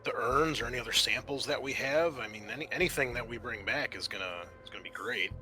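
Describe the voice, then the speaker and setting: A man voicing a calculating woman scientist in a tabletop roleplaying game, speaking in a halting, hedging way. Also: mid-range voice, bright, forceful tone, faint music behind. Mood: confiding. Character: calculating woman scientist scheming over valuable samples. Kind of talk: improvised tabletop roleplay dialogue.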